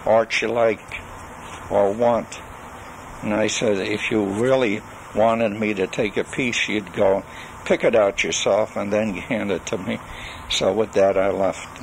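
An older man recording a spoken memoir on cassette, reminiscing in a deliberate, halting way with long pauses. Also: on the low side. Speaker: storyteller recalling his life and legal practice. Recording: noisy 1980s home cassette recording.

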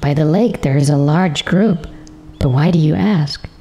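A humble little dwarf cook from a fairy tale, acted out by a man reading aloud. The voice is pitched high and soft-edged, with an anxious, pleading tremble. It always sounds servile and timid before its betters. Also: a little slow. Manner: pleading, tremulous.

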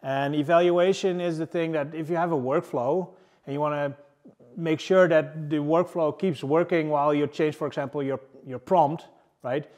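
Man presenting, halting with fillers. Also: on the high side, steady tone, darkish tone. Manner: halting, many fillers and restarts. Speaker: engineering manager presenting. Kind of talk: casual tech meetup talk. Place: large room with a seated audience, indoors.

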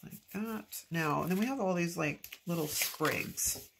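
Stuffy-nosed woman crafter, low gravelly voice, chatty self-talk, noticeable background noise. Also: unhurried. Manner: chatty, talks to herself while working. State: stuffy nose from allergies. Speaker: planner decorating vlogger. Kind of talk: casual chatty crafting narration. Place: at a craft table, filmed from overhead.

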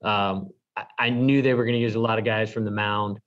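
A man's voice: fairly low pitch, fairly even intonation, brisk, fairly bright tone. A middle-aged American man, a baseball head coach answering questions after a game on a video call. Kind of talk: post-game press conference answers. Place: indoor press room before a backdrop. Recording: dull, lo-fi video call audio.

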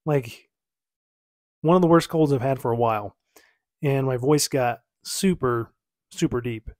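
A relaxed man in his thirties talking from his lamp-lit home office. He wanders and corrects himself in an easygoing, chatty way. The sound is dry and close to the mic. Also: mid-range voice, measured pace, no room sound. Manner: rambling, self-correcting, easygoing. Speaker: YouTuber reacting to his own video. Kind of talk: casual reaction commentary.

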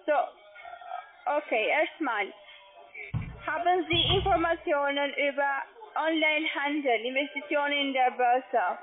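A woman speaking non-native German as a call-centre agent, insistent, halting and repeating herself on a sales call. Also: high voice, soft background music. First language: not German. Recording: tinny narrowband phone line.

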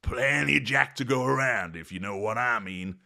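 A man voicing a brash, boastful young male thrill-seeker who talks in loud, breezy slang. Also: mid-range voice, normal speed, creaky, pitched above the performer's own voice.

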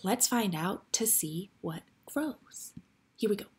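Female storybook reader, medium pitch, slightly breathy husky tone, expressive read-aloud for young children. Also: takes it slowly.

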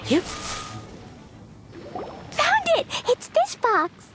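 A woman's voice: very high voice, huge pitch swings, very slow, a bit bright, soft background music, full character voice. A woman voicing an innocent, curious, impulsive little girl in an anime game, speaking in simple, eager phrases. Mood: excited. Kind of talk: anime video game voice acting.

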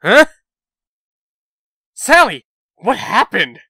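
Man acting a cocky, very animated young trickster. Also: extremely high pitch, extremely slow, husky, dry recording, clean studio sound, heavily acted. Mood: alarmed. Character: cocky, lazy, blustering young soldier trickster. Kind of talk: comic book fan dub.

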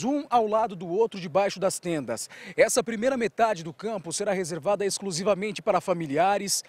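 A man's voice: sits high, husky. A man with a Brazilian Portuguese accent giving a solemn news report outdoors into a handheld mic. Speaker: field reporter. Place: outdoors at an airport and a stadium.

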